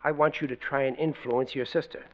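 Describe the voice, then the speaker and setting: A man's voice: medium pitch, fairly even intonation, a little fast, lightly acted. An actor playing a blustering, scheming middle-aged American family father in an old-time radio comedy, with hammy, folksy, ungrammatical delivery. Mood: conspiratorial. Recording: muffled, noisy vintage radio recording.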